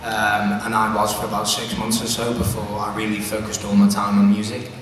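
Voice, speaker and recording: A man's voice: fairly low pitch, monotone, a little fast. A young man from the United Kingdom, a singer answering at a press conference in a chatty way with many fillers. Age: young adult. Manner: chatty, laughing, full of fillers. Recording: echoey hall, far from the mic, background hum.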